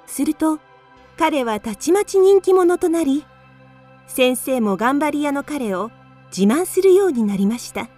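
A woman narrating a children's radio drama in a calm, even storytelling manner. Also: high voice, measured pace, soft background music.

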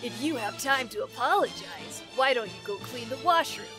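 A woman's voice: a little high, expressive, moderate pace, music in the background, lightly acted. A woman voicing a vain, bullying lady pirate captain in a manga dub, haughty and commanding. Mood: barking orders. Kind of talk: anime-style manga dub acting.

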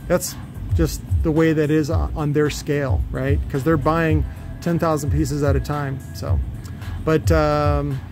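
A man with a slightly high voice talks through technical material off the cuff. His delivery is casual and blunt, full of opinion and rough language. Music plays behind him. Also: a little mellow. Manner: blunt, opinionated, salty-tongued. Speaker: presenter, loudspeaker builder. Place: cluttered indoor workshop.

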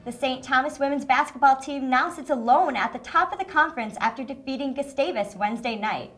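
A woman's voice: high voice, brisk, a bit bright, faint music behind. A young woman hosting a student sports show from a studio desk, reading her lines upbeat on a lapel mic. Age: young adult. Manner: upbeat, reads from a script. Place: small TV studio set, indoors.

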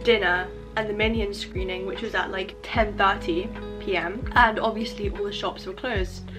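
A woman's voice: mid-range voice, steady tone, moderate pace, music in the background, low-quality recording. A young woman chatting to camera in a casual vlog, rambling and self-mocking, recorded in her bedroom on a handheld camera. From England. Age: young adult. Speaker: vlogger. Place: bedroom at home. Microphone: camera at arm's length.

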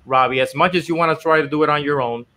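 A man's voice: fairly high pitch, quick pace, sharp tone. A man in his thirties from the Dominican Republic, telling his story earnestly with lots of "you know" as a guest on a video call from his home office. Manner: earnest storytelling with many fillers. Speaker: guest inventor. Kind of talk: casual video-call interview. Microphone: video call webcam.

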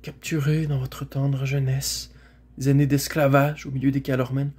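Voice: medium pitch, flat delivery, normal speed, a little mellow, slightly rough, close to an everyday voice. A storybook reader voicing a proud, gallant male talking horse in an emphatic, formal manner. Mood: courteous, indignant.

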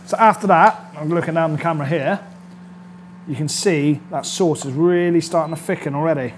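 A man's voice: a little high, brisk, a little hiss or hum. A man in his thirties, a chef hosting a casual cooking demonstration in a studio kitchen, chatty and upbeat and often checking in with his viewers. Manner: chatty and upbeat, keeps checking in with "all right?". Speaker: chef and cooking show host. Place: indoor studio kitchen set. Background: sizzling and frying from the pan.

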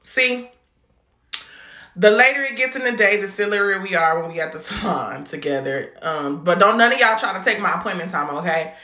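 Sassy American woman with a bright voice. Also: mid-range voice, lively intonation, moderate pace, echoey room. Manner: playful, sassy, slangy. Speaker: vlogger showing a hairstyle. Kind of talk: casual vlog narration.

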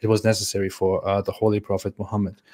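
A young man chatting casually on a livestream as a guest, speaking in a mock-pious, halting way that repeats phrases. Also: fairly low pitch, level tone, dark tone. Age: young adult. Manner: mock-pious, hesitant repetition. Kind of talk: casual joking livestream chat. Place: small room at home, video call.

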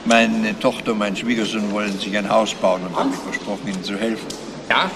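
An actor playing a decent, guarded older retired schoolteacher and chess player in a German crime radio drama. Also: male voice, on the low side, flat delivery, fairly quick, vocal fry. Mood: guarded, frank. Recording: noisy radio drama with background ambience.

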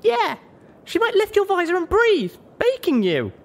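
A man voicing a young female pony heroine with a wry, dark-humored manner in a story reading. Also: extremely high pitch, wildly swooping pitch, measured pace, pitched above the performer's own voice, heavily acted. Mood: grim joking.

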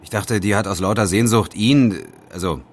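A man's voice: lowish voice, moderate pace, close to an everyday voice. An actor playing a sceptical, teasing young male student sidekick in an audio drama, casual and wry. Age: young adult. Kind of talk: German audio drama acting.

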